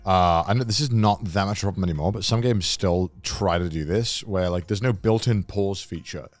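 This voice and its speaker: A man's voice: low voice, brisk, dry recording. A man co-hosting a gaming podcast, chatting in a blunt, informal way with casual swearing. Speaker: podcast co-host. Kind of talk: casual podcast chat about video games.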